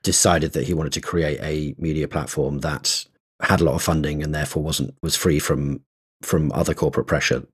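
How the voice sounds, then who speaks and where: A very low-voiced man with a husky, slightly rough tone, chatting on a podcast. He explains things at length, thinking aloud, often restarting sentences and dropping in small laughs. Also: fairly even intonation, moderate pace. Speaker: podcast co-host. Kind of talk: casual conversational podcast.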